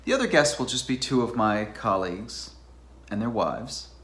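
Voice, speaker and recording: A man's voice: mid-range voice, big pitch swings, moderate pace, fairly bright tone. A man playing a complacent, fussy bachelor academic in a dramatized novel reading, formal, musing and self-satisfied. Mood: genteel. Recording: roomy echo, faint background noise.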